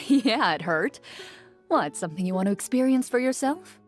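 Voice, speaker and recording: An actress playing a stoic young woman champion duelist in a video game, with a measured, reserved, understated delivery. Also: on the high side, expressive, faint music behind, lightly acted. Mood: dry, amused. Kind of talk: scripted video game dialogue.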